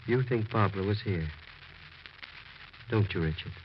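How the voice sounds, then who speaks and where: An actor playing a concerned, stern doctor who reasons earnestly and insistently in a 1940s radio horror drama. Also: male voice, fairly low pitch, normal speed, natural voice. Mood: probing. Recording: muffled, noisy old radio broadcast.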